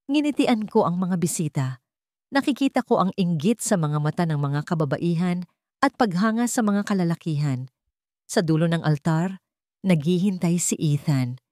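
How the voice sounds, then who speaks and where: Female narrator for melodramatic first-person fiction: slightly low register, scripted dramatic reading, dry close sound. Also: a little mellow, no echo. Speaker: audiobook narrator.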